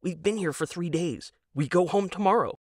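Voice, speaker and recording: Audio drama role: dim, wide-eyed husband, performed by a male narrator, eager and rambling, raised pitch, close dry sound. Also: normal speed, a little mellow, no room sound. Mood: hesitant, earnest. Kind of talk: audio drama character dialogue.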